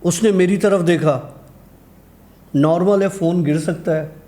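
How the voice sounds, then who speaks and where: Mellow, higher-pitched man telling a personal tale. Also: unhurried. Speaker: guest storyteller. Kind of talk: conversational personal horror anecdote.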